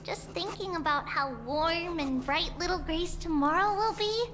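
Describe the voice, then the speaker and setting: Playful, very high-pitched little girl. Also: measured pace, over music. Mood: cheerful, tender. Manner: refers to self by name, playful. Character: mischievous childlike little girl triplets, playful heroes. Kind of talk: video game voice acting.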